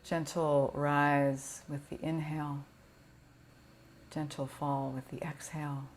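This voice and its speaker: A woman teaching yoga nidra, guiding a relaxation meditation in a gentle, soothing, unhurried way with pauses. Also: low-pitched, flat delivery, a little hiss or hum.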